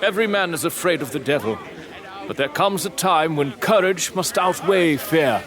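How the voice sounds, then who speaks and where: An actor playing a proud, headstrong lord in a period audio drama, speaking in a commanding, formal, theatrical manner. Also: male voice, fairly high pitch, lively intonation, normal speed, a crowd in the background, natural voice. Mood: rousing, defiant. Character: proud, headstrong English lord leading a stag hunt.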